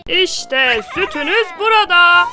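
Cartoon wolf: very high, slow and deliberate, bossy, theatrical. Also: female voice, lively intonation, over music, exaggerated voice. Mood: polite. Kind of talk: dubbed children's cartoon.

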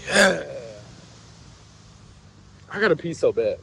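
A man's voice: fairly high pitch, sing-song, takes it slowly, creaky, fairly bright tone, slight background noise, rough recording. A young man vlogging on a jet ski, teasing and joking in slangy casual talk, filmed on a handheld action camera outdoors. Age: young adult. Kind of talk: casual vlog chat.

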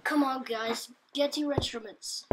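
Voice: high voice, steady tone, unhurried, very noisy. A child improvising an amateur voice-over for a cartoon. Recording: music behind the voice.

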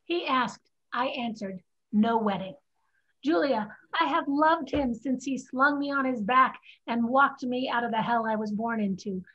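A woman's voice: a little high, a little slow, fairly bright tone. A woman playing a headstrong, free-spirited young female student in a staged play reading over a video call. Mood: resolute. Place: home room on a group video call. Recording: dull, muffled video-call audio.

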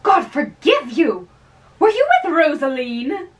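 A woman's voice: high voice, big pitch swings, slow pace, pitched above the performer's own voice, exaggerated voice. A woman voicing a concerned older priest in a play, emphatic, probing and fatherly. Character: concerned, scolding older priest advising a young man. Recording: distant camera mic with faint room noise.

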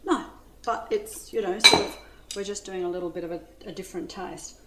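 A woman's voice: fairly low pitch, fairly quick. Chatty Australian woman doing a cooking demo. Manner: chatty, enthusiastic, addresses viewers as ladies. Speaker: cooking demonstrator. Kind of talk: cooking demonstration. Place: home kitchen studio. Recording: echoey kitchen with light noise.

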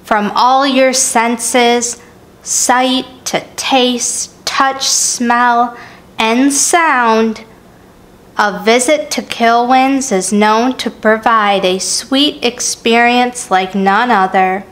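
Unhurried high-voiced woman food reviewer. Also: steady tone, a bit bright. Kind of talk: scripted vlog narration and casual food review. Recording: echoey home room.

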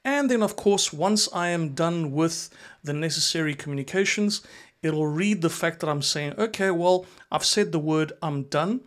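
A South African man in his forties presents a tech tutorial. His voice sits a little higher than most men's. He explains things step by step, close to a desk mic in a small home studio. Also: moderate pace. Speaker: instructor, presenter. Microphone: close desk microphone.

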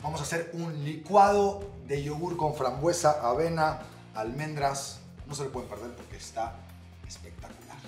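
A man's voice: fairly high pitch, normal speed, roomy sound, soft background music. A young man presenting a recipe in a casual, playful cooking segment, talking straight to his viewers. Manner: upbeat, playful, addressing viewers directly.

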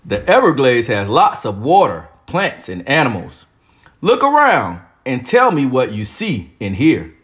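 A cheerful old grandpa acted by a man in a children's storybook. He is hearty and encouraging, with a mid-pitched voice that swings widely up and down. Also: wildly swooping pitch, a little slow, fairly bright tone. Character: cheerful, kindly old grandpa who loves adventures. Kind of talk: children's storybook read-aloud.

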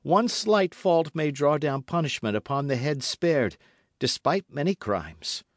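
A man's voice: on the high side, animated, a little slow, dry recording, clean studio sound, voice pushed up. A man voicing an impassioned, righteous young officer in a storybook, speaking with formal, insistent earnestness. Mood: urgent pleading. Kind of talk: dramatic audiobook character reading.

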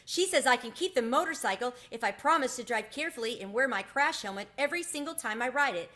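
A woman's voice: fairly high pitch, quick pace, pitched above the performer's own voice. A woman voicing an eager, proud young male mouse with an emphatic delivery in a storybook. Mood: triumphant. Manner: emphatic, excitable.

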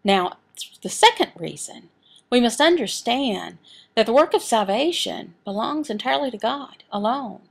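A woman voicing a signed sermon as an interpreter, speaking deliberately, phrase by phrase with pauses. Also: a little high, lively intonation, gravelly. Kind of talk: sermon and prayer, voiced from sign language.

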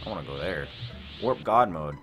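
Low-voiced young man over loud music. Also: animated, normal speed. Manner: rambling, thinking aloud. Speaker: gaming video host. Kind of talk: casual gameplay commentary.

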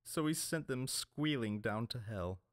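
A man's voice: medium pitch, lively intonation, measured pace, no echo, studio quality, pitched above the performer's own voice, natural voice. A man voicing a brutish, mocking male pirate captain in an audiobook. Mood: jeering. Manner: jeering, mocking.

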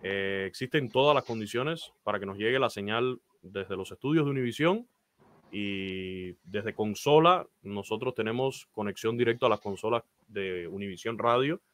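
A man's voice: lowish voice, moderate pace, dry recording. A man in his thirties with a Cuban Spanish accent, a baseball announcer talking earnestly about his career and giving advice on a video call, with frequent "eh" fillers. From Cuba. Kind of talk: interview guest telling his career story. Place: small room, indoors.

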